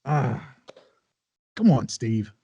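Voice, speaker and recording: A man's voice: medium pitch, big pitch swings, extremely slow, warm tone, slightly rough, no room sound. A man chatting casually as a contestant in a podcast game, on a dull lo-fi microphone. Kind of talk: casual chat.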